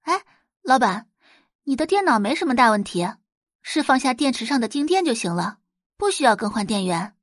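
A woman's voice: a little high, very animated, moderate pace, dry recording, studio quality. A woman voicing a young girl in an audio drama, tech-savvy, offhand and direct.

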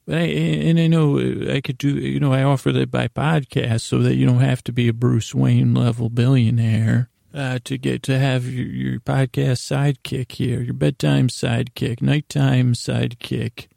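A middle-aged man hosting a bedtime podcast, rambling whimsically in a creaky voice, often halting and losing his thread. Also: mid-range voice, flat delivery, dry recording, studio quality. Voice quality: creaky, gravelly, mellow voice. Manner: meandering, halting, trails off mid-thought, whimsical. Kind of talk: rambling, improvised bedtime monologue to listeners.

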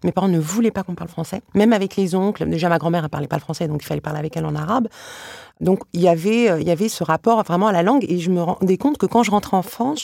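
A woman guest author talking frankly and telling personal stories in a relaxed podcast conversation. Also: fairly low pitch, creaky, dry recording, studio quality. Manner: brisk, storytelling, frank.

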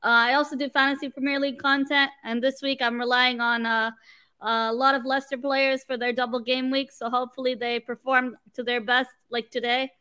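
A woman's voice: sits high, level tone, brisk, bright, forceful tone, clear, resonant tone. A woman from Canada, a guest on a football fan show, chatting casually about a match with many fillers and restarts.

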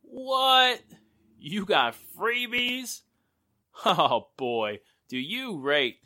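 An actress playing a breezy, wisecracking friend with wry, slangy talk in 1940s radio drama style. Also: female voice, medium pitch, big pitch swings, very slow, pushed and bright, no room sound, clean studio sound, heavily acted. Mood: playful, excited. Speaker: actor.

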